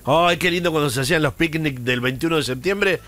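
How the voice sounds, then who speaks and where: A man's voice: a little high, brisk, fairly bright tone, soft background music. A man with a Rioplatense Argentine accent, co-hosting a radio show in casual, jokey banter, telling nostalgic stories that trail off mid-phrase. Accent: Rioplatense Argentine Spanish. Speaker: radio co-host.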